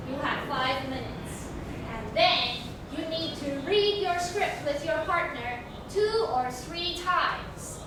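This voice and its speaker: A woman teacher instructing children in a classroom, using simple, repetitive phrasing and questions. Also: very high voice. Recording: very echoey room, distant mic, faint noise.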